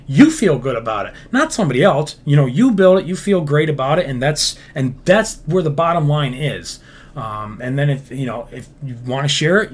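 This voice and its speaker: Guy rambling on a livestream, slightly high voice, swears a lot, lots of um and uh. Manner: rambling, heavy fillers and restarts; profane, blunt. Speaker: livestream host, game builder. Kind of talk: casual livestream chat, reading viewer comments.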